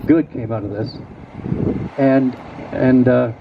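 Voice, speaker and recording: A man who is a mayor giving a halting speech to schoolchildren at an outdoor ceremony, with many fillers and restarts. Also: medium pitch, creaky. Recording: distant, muffled outdoor recording with loud background noise.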